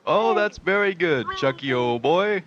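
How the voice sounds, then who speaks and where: An actor playing a slick, cheerfully sadistic game show host in a comic radio drama, with an American accent and showy announcer patter. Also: male voice, fairly high pitch, lively intonation, measured pace, fairly bright tone. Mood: gleeful, showy. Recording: dull, lo-fi audio.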